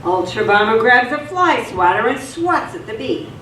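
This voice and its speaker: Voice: medium pitch, very animated, normal speed, pushed and bright. A narrator reading scripted dramatic narration for a live radio theatre piece. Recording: echoey room, distant mic, faint noise.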